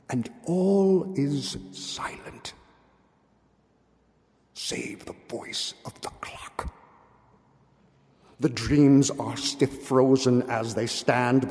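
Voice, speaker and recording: A man giving a dramatic, theatrical reading of gothic horror, with drawn-out words, pauses and hushed drops. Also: mid-range voice. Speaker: literary reader. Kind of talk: dramatic literary recitation of a gothic horror tale. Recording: long hall-like reverb.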